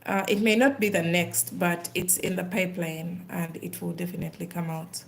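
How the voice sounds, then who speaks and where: A woman's voice: fairly low pitch, little pitch movement, normal speed, vocal fry, slight background noise. A woman with a Ugandan accent, chatting casually on a live stream while she does craft work.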